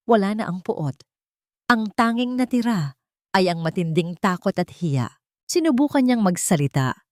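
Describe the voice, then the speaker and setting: A woman with a slightly low voice narrates a scripted drama in the first person. The recording is dry, with very little room sound, and the delivery is that of a dramatic storyteller. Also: a little mellow, no echo.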